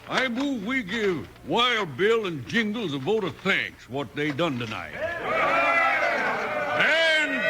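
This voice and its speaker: An American actor playing a bossy, pompous small-town mayor in a 1950s radio western, speechifying in folksy grammar. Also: male voice, sits high, heavily acted. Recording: faintly noisy old radio recording.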